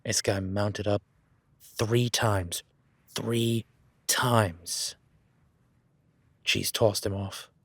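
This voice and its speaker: A man's voice: lowish voice, fairly even intonation, drawn-out words, long pauses, no room sound, full character voice. A man voicing an easygoing male cowboy ranch hand in an audio roleplay, talking in a laid-back, folksy way with casual swearing.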